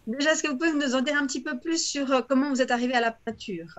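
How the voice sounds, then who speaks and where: Welcoming woman interviewer, slightly high voice. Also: fast. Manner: appreciative, welcoming. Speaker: interviewer, co-host. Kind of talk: conversational interviewing.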